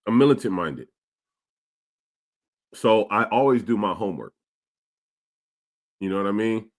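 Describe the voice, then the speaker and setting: A man's voice: fairly low pitch, animated, a little slow. A man in his forties from Stockton, California, telling street stories and reading chat comments on a casual podcast. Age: 40s. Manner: streetwise, storytelling, repeats himself; reads live chat comments aloud. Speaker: podcast co-host.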